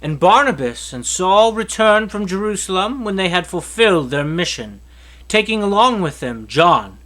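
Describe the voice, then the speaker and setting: A man reading scripture aloud as narration, with an expressive, emphatic storytelling delivery. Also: high voice, moderate pace. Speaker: Bible reader.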